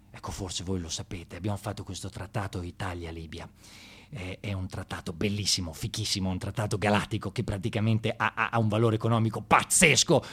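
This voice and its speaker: A man performing a colloquial satirical theatre monologue with gushing mock enthusiasm and halting, repeated phrases. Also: mid-range voice, husky. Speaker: stage monologuist.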